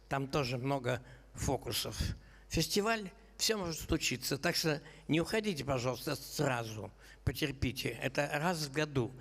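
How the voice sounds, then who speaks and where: A man's voice: medium pitch, a bit bright. An older man hosting a jazz concert from the stage, joking with the audience in a wry, conversational way. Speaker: concert host and jazz critic. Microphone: stage microphone. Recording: hall reverb with audience.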